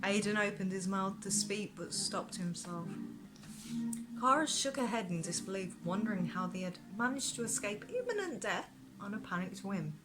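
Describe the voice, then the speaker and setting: A woman author reading her own fantasy novel aloud as expressive audiobook narration. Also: mid-range voice, normal speed, rough recording. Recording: prominent music behind the narration. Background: music.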